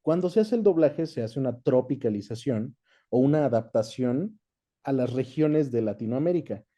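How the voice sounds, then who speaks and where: A man with a Mexican accent giving measured, conversational explanations as an interview guest, often checking in with short tag questions. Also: mid-range voice, lively intonation, mellow. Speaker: interview guest, voice actor and dubbing director.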